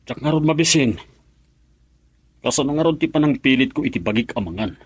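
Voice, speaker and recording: Husky, worried husband and father. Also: mid-range voice, animated, a little fast, no room sound. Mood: weary, sad. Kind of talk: Ilocano radio drama acting.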